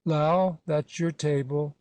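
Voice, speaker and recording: An actor playing an officious organiser directing guests in short phrases, in a period satirical audio play. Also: male voice, fairly high pitch, fairly even intonation, slow, a little mellow. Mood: brisk. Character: officious party organiser seating supper guests.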